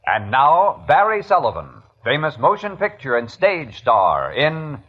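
Slow, dramatically swooping vintage radio announcer man. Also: fairly high pitch, huge pitch swings, noisy background. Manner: dramatic, portentous. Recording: muffled old radio recording over loud music. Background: loud dramatic music.

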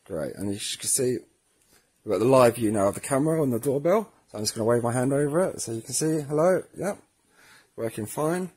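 Male tutorial presenter, mid-pitched with a husky edge, informal and friendly, walking viewers through steps. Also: lively intonation, a little fast, a little mellow. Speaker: presenter of a product tutorial series. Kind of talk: casual spoken how-to demonstration.

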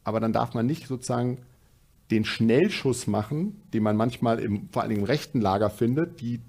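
A middle-aged man on a studio panel, reasoning in a measured, analytical way. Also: mid-range voice, a little mellow. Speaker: panel guest. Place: studio stage.

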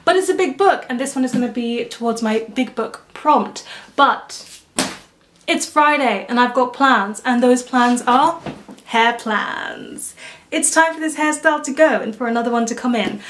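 A young woman talks through her week in an upbeat, chatty vlog, laughing easily. Her voice sits a little high. She speaks to a camera set up in front of her in a small room at home. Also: moderate pace, fairly bright tone. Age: young adult. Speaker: book vlogger. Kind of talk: casual reading vlog.

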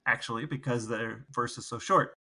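A man co-hosting a podcast in casual banter, explaining things in a measured way. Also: medium pitch, sharp tone. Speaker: podcast co-host.

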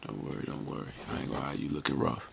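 An American man in his twenties doing a soft-spoken ASMR barber roleplay, murmuring slangy, repetitive reassurances close to the mic. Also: very deep voice, rapid-fire, vocal fry. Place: small room indoors. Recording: noisy close mic with handling sounds.

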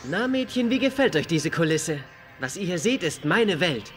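An actor playing a smug, scheming alien villain in an animated series dub. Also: male voice, high-pitched, moderate pace, soft background music. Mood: grandly taunting.

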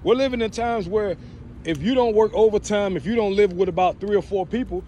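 Halting high-voiced man, noisy outdoor recording. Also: warm tone, dull audio. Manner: halting, repeats words while searching for the next. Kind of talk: casual religious talk while out walking. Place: outdoors, walking. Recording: noisy outdoor recording, wind and engine noise.

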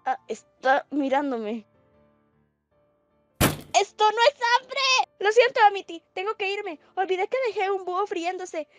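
High-pitched, excitable teenage girl, played by a woman. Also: unhurried, long pauses, faint music behind. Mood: flustered. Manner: dramatic, pleading. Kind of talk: fan comic dub.

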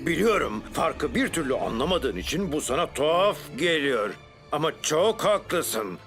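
An actor voicing a cruel, gloating mad scientist villain in a cartoon dub, with a theatrical, menacing manner. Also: male voice, a little high, very animated, measured pace. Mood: smug. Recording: background music under the voice.

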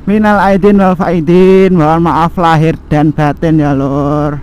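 Slow-talking Javanese man riding a motorbike. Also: fairly high pitch, smooth, lo-fi sound. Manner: slow, relaxed chatty narration in Javanese mixed with Indonesian. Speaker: motovlogger. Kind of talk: casual riding commentary. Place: riding a motorbike on an outdoor road. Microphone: helmet or bike-mounted action camera. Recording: faint road and traffic noise.

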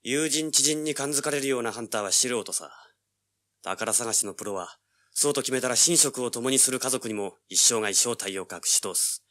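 An actor playing a cocky, hard-boiled young treasure hunter, brash and wry, in a dramatized audiobook. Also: male voice, medium pitch, moderate pace, sharp tone, lightly acted. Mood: cool, knowing. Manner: brash, wry, rough-spoken. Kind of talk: dramatized audiobook acting with first-person narration.

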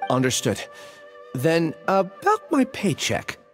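An actor playing a meek, polite young part-time academy worker in a video game, deferential in tone. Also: male voice, on the high side, huge pitch swings, slow, faint music behind, heavily acted. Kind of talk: video game dialogue acting.

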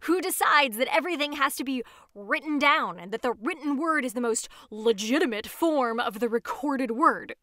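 Rambling, self-important neuroscientist, highish voice. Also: female voice, expressive, bright, forceful tone, no echo, studio quality. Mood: chatty, indignant. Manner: halting, digressive, self-justifying. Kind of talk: audio drama monologue as if recording a homemade show.

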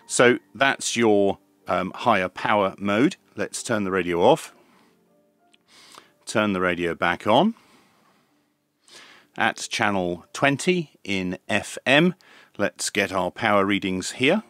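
Man, fifties, low pitch, unhurried pace, methodical product reviewer. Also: lively intonation, fairly bright tone, no room sound. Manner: measured, methodical step-by-step explaining. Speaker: presenter of radio equipment reviews. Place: small indoor home radio workshop.

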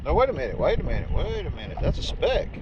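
A man's voice: a little high, big pitch swings, quick pace. An older man giving casual, folksy, understated commentary for a fishing vlog from a small open boat. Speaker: fishing vlogger. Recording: windy outdoor noise, muffled action-camera sound. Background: loud wind and water noise.

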